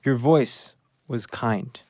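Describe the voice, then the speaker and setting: A man's voice: mid-range voice, extremely slow, darkish tone, dry recording, studio quality. An actor playing a small, earnest, devoted rescue dog who tells his story wistfully in an audio play.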